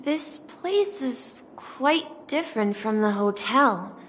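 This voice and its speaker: A woman's voice: a little high, expressive, fairly bright tone, a little hiss or hum. A woman voicing a timid, polite young boy doorman in a video game, hesitant and eager to help. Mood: unsure, helpful. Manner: hesitant, halting. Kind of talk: scripted game voice acting. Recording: long hall reverb, faint music.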